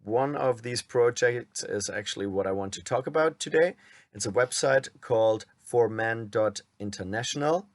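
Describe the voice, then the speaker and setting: A man from Germany with a German accent, a channel host reading a prepared script aloud in a measured, somewhat wooden way. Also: mid-range voice, dry recording. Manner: admits his reading sounds wooden.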